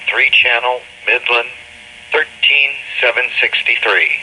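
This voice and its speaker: A man's voice: medium pitch, a little slow, sharp tone, a little hiss or hum, poor audio. A man announcing products in short, clipped phrases as a presenter.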